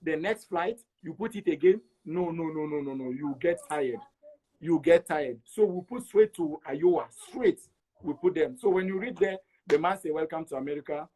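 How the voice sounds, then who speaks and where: Higher-voiced Togolese man telling his story. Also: moderate pace, warm tone, low-quality recording. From Togo. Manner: repeats words for emphasis, acts out quoted dialogue. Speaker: interview guest. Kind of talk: animated personal storytelling.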